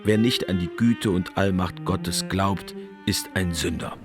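Man, deep voice, scripted narration, articulate, cello music behind. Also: fairly even intonation, unhurried. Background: string music.

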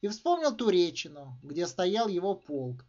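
A man reading his own literary short story aloud in a theatrical, expressive way, as an author narrating. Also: high voice, measured pace. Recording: dull, slightly muffled recording.

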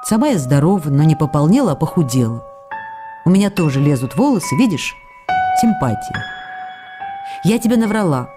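A woman's voice: low voice, sing-song, moderate pace, pauses often. An actress reading a passionate young actress's letters to her husband in a radio play, ardent and reproachful by turns. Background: music.

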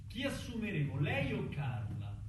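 An actor playing a domineering, mocking office boss who fires short pressing questions in a radio drama. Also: male voice, a little high, wildly swooping pitch, normal speed, recorded from a distance. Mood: insistent. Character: domineering male office boss interviewing a nervous trainee. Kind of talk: stage-style radio drama acting. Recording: echoey room from afar, noisy.

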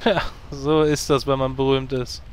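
A man's voice: mid-range voice, level tone, clean tone, close to an everyday voice. An actor playing a vain young male druid hero with a wry, sarcastic way of talking in a comedy audio drama. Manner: wry, sarcastic, quick asides.

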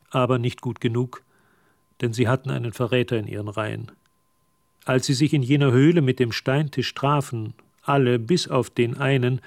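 A man's voice: medium pitch, creaky, no echo, lightly acted. A man narrating a radio drama as a composed storyteller, reading scripted prose close to the microphone. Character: composed male storyteller of a mystery tale. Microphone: close, dry studio microphone.

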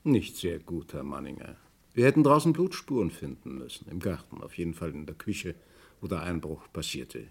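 Dry, husky male police inspector. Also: low-pitched, animated, normal speed, full character voice. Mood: dry, sceptical. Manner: clipped, dry, interrogating. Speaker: radio drama actor. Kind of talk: vintage German crime radio play acting.

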